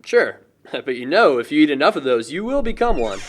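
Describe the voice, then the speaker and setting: An actor plays an easygoing young guy in a small film drama. His voice is a little high for a man, and he chats casually with dry comebacks for his friends. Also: sing-song, fairly quick, a little hiss or hum. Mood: bantering. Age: young adult. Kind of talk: amateur film dialogue, casual banter.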